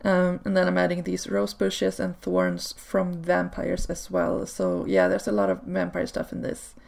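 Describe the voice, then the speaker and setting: A woman whose first language is Swedish, chatting casually over gameplay footage in a relaxed way, with frequent ums and small laughs. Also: fairly low pitch, measured pace, vocal fry. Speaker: video creator. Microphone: home desk microphone.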